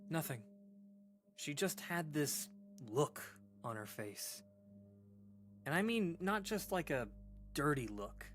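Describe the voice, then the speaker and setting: Actor as rattled horror storyteller, mid-pitched, conversational, hesitant, stammering, dramatic pauses, audio drama monologue, music underneath. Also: big pitch swings. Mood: unsettled. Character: rattled person recounting a frightening childhood memory.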